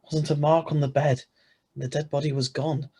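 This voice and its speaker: A man's voice: a little high, level tone, moderate pace, mellow, slightly rough. A man playing an ordinary, easily flustered married man who narrates his own story in an old-style radio play, read over a video call. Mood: wry storytelling. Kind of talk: 1940s radio acting read aloud.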